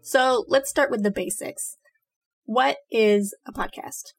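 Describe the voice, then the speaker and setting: A woman's voice: a little high, takes it slowly, vocal fry, faint music behind. A young adult woman co-hosting a youth podcast, earnest and upbeat. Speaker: podcast co-host, storyteller.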